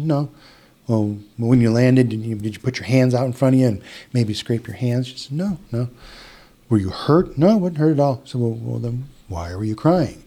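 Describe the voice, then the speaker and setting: A man's voice: mid-range voice, dark tone. A middle-aged man with an Upper Midwest American accent, a hypnotist telling a long story in casual interview chat, with restarts and quoted dialogue. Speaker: hypnotist guest.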